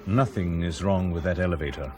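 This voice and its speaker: An actor playing a senior fleet pilot in a radio drama, measured and sceptical, reasoning deliberately with sardonic emphasis. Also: male voice, sits low, over music, close to an everyday voice. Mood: grave, firm.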